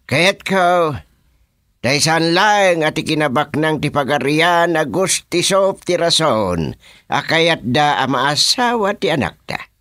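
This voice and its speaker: An actor playing a boastful king in a comic Ilocano radio drama, declaiming deliberately with an Ilocano accent. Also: a little high, lively intonation, bright, forceful tone, dry recording, clean studio sound, heavily acted. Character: boastful fairy-tale king, father of a princess.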